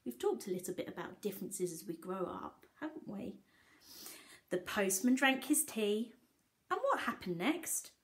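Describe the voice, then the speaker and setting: A woman's voice: mid-range voice, big pitch swings, normal speed. A woman teacher reading a picture book aloud to young children, expressively, with coaxing questions to the listeners. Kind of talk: animated picture-book read-aloud with asides.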